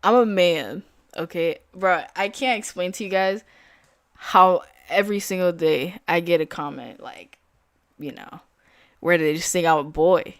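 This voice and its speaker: Young woman, lowish voice, laid-back streamer talk, dry close sound. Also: a little slow, no room sound. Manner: casual, filler-heavy, slangy. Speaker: day trader streaming live. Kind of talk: casual live-stream chat.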